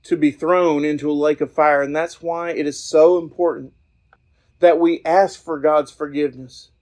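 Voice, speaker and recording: A man hosting a podcast in an informal preaching style, talking in an earnest, folksy, halting way outdoors. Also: fairly high pitch, steady tone, a little mellow. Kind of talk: informal evangelistic talk.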